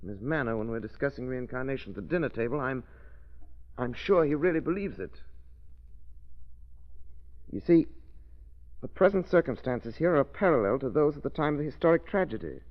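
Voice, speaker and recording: An actor playing an inquisitive, urbane man investigating a mystery in a 1930s radio drama, deliberate and intent as he explains. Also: mid-range voice, lively intonation, natural voice. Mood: urgent, suspicious. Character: inquisitive, urbane amateur sleuth piecing together a sinister plot. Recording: muffled 1930s radio recording.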